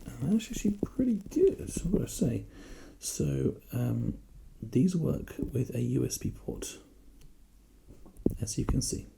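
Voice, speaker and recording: A man speaks softly and casually, as a reassuring personal shopper in an ASMR role play. His voice is full of heavy creak and moves around a lot in pitch. It is recorded close on a binaural mic, with clear noise behind it. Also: sing-song, slow pace, poor audio. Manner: casual, reassuring, hesitant and chatty. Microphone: binaural microphone, close.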